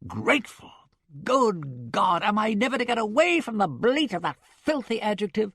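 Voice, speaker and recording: A man voicing a witty gentleman in love, speaking in emphatic, impassioned outbursts. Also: fairly high pitch, lively intonation, normal speed, dry recording, studio quality, pitched above the performer's own voice.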